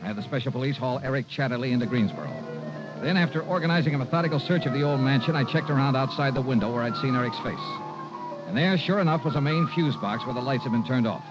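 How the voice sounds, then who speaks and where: A man's voice: mid-range voice, lively intonation, fast, natural voice. Hard-boiled male investigator, played by an actor, mid-range, wry and clipped, narrating vintage radio noir over music. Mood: brisk, businesslike. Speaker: lead detective and narrator. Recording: dull vintage radio recording.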